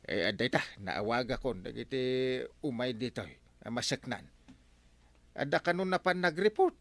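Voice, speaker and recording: A man's voice: medium pitch, lively intonation, normal speed, fairly bright tone. A man playing a bumbling, hesitant village captain and argumentative husband in a comedy radio drama. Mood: explaining.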